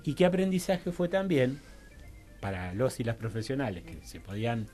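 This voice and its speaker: A man with a Rioplatense Argentine accent talking in a radio panel as a guest professional, halting and reflective, often checking he is followed. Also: medium pitch, sing-song, warm tone, soft background music. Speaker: guest professional working in health and diversity.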